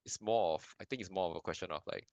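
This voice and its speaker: A young man from Singapore talks through his answers with a deep, low voice. He hesitates, restarts sentences and fills gaps with "um". The sound is dry and close. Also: quick pace, darkish tone, clean tone, no room sound, highs cut off. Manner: hesitant, many restarts and fillers, thoughtful. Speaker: filmmaker guest. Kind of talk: casual interview answers. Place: lives in Canada.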